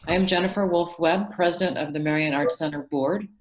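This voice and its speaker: Low-voiced woman giving a scripted welcome. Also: a little fast, dull audio. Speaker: arts center board president.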